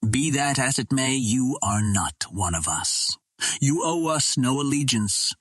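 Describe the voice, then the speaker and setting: Male narrator as green Martian chieftain for a storybook: stern, pronouncing, husky, dry sound. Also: medium pitch, lively intonation, unhurried, fairly bright tone, no room sound, voice pushed up. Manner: formal, commanding, pronouncing.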